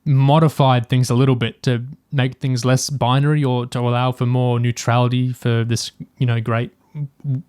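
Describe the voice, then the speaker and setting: A man's voice: mid-range voice, flat delivery, normal speed, a little mellow, smooth, no echo, studio quality. A man in a casual review discussion as a podcast host, thinking aloud in a hesitant way.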